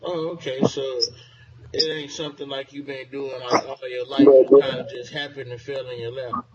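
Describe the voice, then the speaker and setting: A man interviewing a guest, asking casual, unhurried questions full of fillers. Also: mid-range voice, flat delivery, a crowd in the background. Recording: muffled lo-fi line with background noise.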